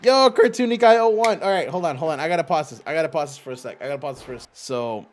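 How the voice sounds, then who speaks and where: Brief: young male stream host, a little high, slangy casual chatter. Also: animated, slight background noise. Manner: chatty, slangy, trails off into quick restarts. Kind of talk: casual game-stream commentary.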